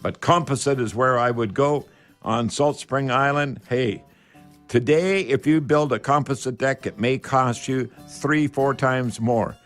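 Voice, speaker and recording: A Canadian man hosts a home improvement call-in show on the radio. His voice sits at an average pitch and he speaks in a folksy, emphatic way, often repeating a phrase for weight. Faint music can be heard behind him. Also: measured pace. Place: radio studio.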